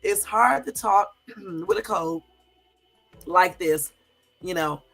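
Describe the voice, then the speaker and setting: A middle-aged woman, hoarse from a cold, hosting a casual livestream and telling stories straight to her viewers. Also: lowish voice, lively intonation, slow pace, a bit bright, soft background music, rough recording. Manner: chatty storyteller, talks straight to viewers. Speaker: YouTube livestream host.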